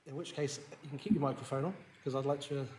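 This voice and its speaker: A man's voice: medium pitch, fairly even intonation, fast talker, a little mellow, slight background noise. A man chairing a formal council hearing, polite and procedural. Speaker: committee chair.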